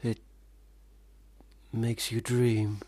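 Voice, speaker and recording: An actor in a radio play, a man, playing a failed, self-pitying young gentleman writer with formal, wordy diction. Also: medium pitch, flat delivery, drawn-out words, no echo. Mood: drowsy, defensive. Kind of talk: radio play monologue and dialogue.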